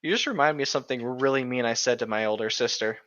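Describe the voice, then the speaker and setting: A man's voice: mid-range voice, quick pace, a bit bright. A young man co-hosting in casual, sarcastic, profane banter, recorded on a lo-fi home mic. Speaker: co-host reading a book aloud with commentary. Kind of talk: casual joking chat.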